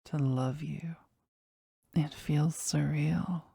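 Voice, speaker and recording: A woman playing a powerful, jealous demon devoted to their master in an intimate audio roleplay, speaking deliberately and intensely in a husky, breathy voice. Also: steady tone, warm tone, dry recording, studio quality, full character voice. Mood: adoring, yearning. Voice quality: husky, breathy, low creaky voice. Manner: slow, deliberate, intense, dramatic.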